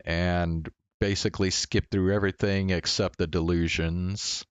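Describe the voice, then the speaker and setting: A man with a low voice gives casual commentary on a game. He speaks slowly and a little hesitantly, as if thinking aloud to himself. The sound is dry, with very little room in it. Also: little pitch movement, clean tone, no room sound. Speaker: streamer.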